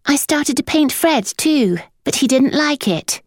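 A woman's voice: high voice, animated, a little slow, no room sound, clean studio sound, voice pushed up. A woman voicing a cheerful, mischievous eight-year-old boy who speaks matter-of-factly. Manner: chirpy and matter-of-fact.

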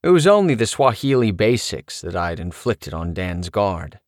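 Animated man narrating his memoir. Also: lowish voice, moderate pace, no room sound, studio quality. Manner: animated, expressive storytelling.

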